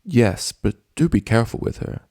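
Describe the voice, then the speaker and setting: A man voicing a formal, matter-of-fact male pony doctor in a story reading, with measured delivery. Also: medium pitch, animated, darkish tone, no room sound, clean studio sound, voice pushed up.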